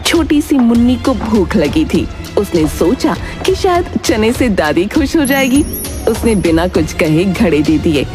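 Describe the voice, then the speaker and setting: Expressive woman storyteller over music. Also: mid-range voice, measured pace. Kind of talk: scripted children's story narration. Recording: background music under the voice.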